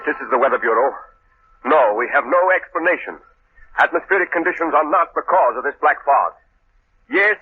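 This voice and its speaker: A weary weather official, played by a man, fields calls with patient apologies in an old radio drama. His voice is somewhat high. The recording is tinny and lo-fi, with faint hiss. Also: natural voice. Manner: patient, apologetic phone manner. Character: weary, polite male weather bureau official. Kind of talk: 1940s radio acting.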